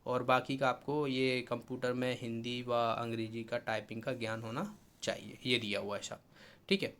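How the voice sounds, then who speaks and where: A man's voice: mid-range voice, fairly even intonation, moderate pace, a bit bright, slight background noise. A man from India explains a notice informally as an exam-preparation teacher, instructive and repetitive, mixing in English technical terms.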